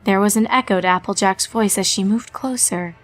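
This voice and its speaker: A woman narrating a horror fanfiction story in a measured storytelling manner. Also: mid-range voice, no room sound, faint music behind.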